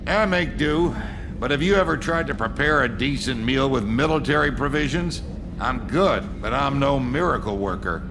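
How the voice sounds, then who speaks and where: A man's voice: mid-range voice, animated, moderate pace, background noise, lightly acted. A man playing a folksy spaceship cook, humming background. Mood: grumbling, earnest. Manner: folksy, plain-spoken, slangy. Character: gruff, folksy spaceship cook, proud and crude. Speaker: ship's cook and handyman. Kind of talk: video game character dialogue.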